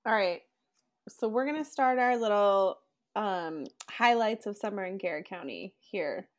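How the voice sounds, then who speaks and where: An upbeat woman hosting a relaxed chat, with a mid-range voice. She keeps things moving, tossing questions to her guests. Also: mid-range voice, unhurried. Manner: upbeat, leads the conversation. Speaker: podcast host. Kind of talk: casual chat.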